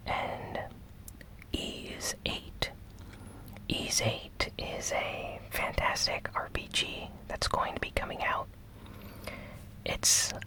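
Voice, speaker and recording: A host softly whispering a gaming news recap in a breathy, unhurried ASMR style. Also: poor audio. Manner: slow, soft, unhurried delivery.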